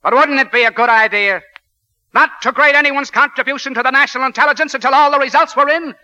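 An American college coach from a 1940s radio drama, a forceful and earnest man. His voice sits high and cuts with a very bright, tense edge. He orates emphatically, as if every point matters. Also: quick pace, muffled recording, full character voice. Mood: impassioned. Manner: emphatic, oratorical delivery. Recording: vintage 1940s radio broadcast.

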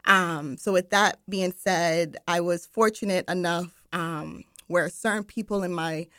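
A woman's voice: on the low side, unhurried, fairly bright tone, no echo. A young woman from Barbados, guest on a studio radio interview, chatty with many ums and laughs. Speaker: guest, marketing director. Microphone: studio microphone.

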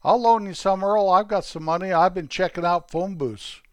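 A bright, chirpy young boy, cheerful and a bit of a know-it-all, pitched way up high in a told story, as a man puts him on. His voice is smooth and clean, close and dry, and he tacks his buddy's name onto nearly every line. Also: moderate pace, no room sound, lightly acted. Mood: cheerful, proud.

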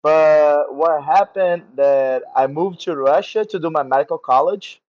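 A man in his twenties from Brazil with a Portuguese accent, a podcast guest who is sick with a sore throat, speaking in halting English with fillers. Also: a little high, darkish tone, lo-fi sound. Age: 20s. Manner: halting non-native English with filler words. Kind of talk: casual interview chat.

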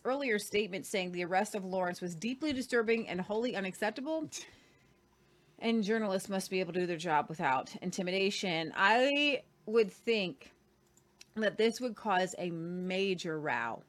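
A woman's voice: medium pitch, lively intonation, normal speed. A woman hosting a news talk show, reading articles aloud with commentary and frequent "uh" fillers. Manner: reads steadily with frequent "uh" fillers.

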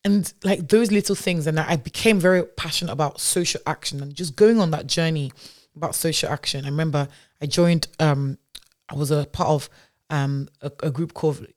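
A woman's voice: sits low, fairly quick. A woman who grew up in Nigeria, a tech founder talking as a podcast guest in a chatty way, with many fillers and restarts. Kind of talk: casual interview storytelling.

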